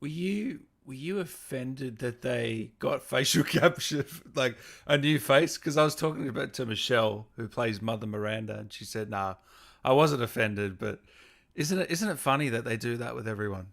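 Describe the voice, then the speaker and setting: A man's voice: mid-range voice, animated, moderate pace, vocal fry, a bit bright. A man chatting casually as he interviews a guest on a gaming show, asking questions in an informal way. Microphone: video call.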